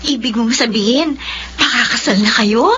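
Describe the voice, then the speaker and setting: A curious, sympathetic friend voiced by a woman asks questions slowly, her pitch rising and falling widely. The recording is dull, with a faint hum behind it. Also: very animated, pushed and bright, full character voice. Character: curious female friend Joy.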